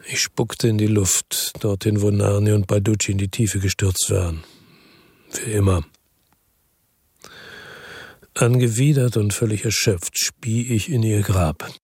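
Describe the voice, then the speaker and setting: A world-weary middle-aged man tells his crime story in a radio play. His low voice is very raspy and full of gravel, recorded close and dry. The delivery is terse and brooding. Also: fairly even intonation, moderate pace, darkish tone, no echo. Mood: bitter, exhausted. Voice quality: husky, gravelly. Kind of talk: radio drama narration and dialogue.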